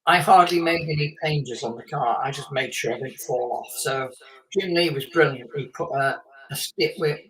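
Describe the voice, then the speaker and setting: A man's voice: medium pitch, normal speed, a bit bright, distant mic, low-quality recording. Rambling man in his fifties, set back from the mic. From England. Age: 50s. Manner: rambling storyteller with fillers and laughs. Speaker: guest, former racing driver. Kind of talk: casual live chat.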